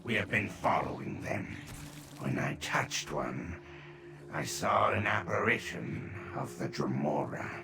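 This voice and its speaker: An actor playing a sly, wry adventurer in a video game, with a dry, deliberate delivery. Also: male voice, low voice, lively intonation, full character voice. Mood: intrigued. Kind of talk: video game character dialogue. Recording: clear background ambience noise.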